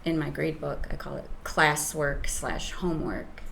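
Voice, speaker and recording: A soft-voiced woman teacher talks slowly and a little hesitantly, often pausing on fillers. Her voice sits fairly low, and a faint hiss of noise runs behind her. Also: steady tone, vocal fry. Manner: hesitant, with many ums and restarts. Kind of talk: interview guest, casual explanation.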